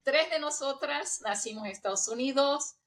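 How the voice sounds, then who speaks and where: A woman's voice: sits high, normal speed, fairly bright tone, slight background noise. A middle-aged woman from the United States with a hybrid Spanish accent often taken for Argentine, talking in an interview, chatty and laughing easily. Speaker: guest, intercultural trainer. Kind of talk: conversational interview.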